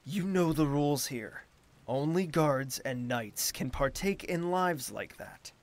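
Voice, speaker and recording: A man's voice: a little high, lively intonation, slow pace. A man playing a haughty, wounded royal ruler in an audio drama, speaking formally and imperiously. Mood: stern, accusing.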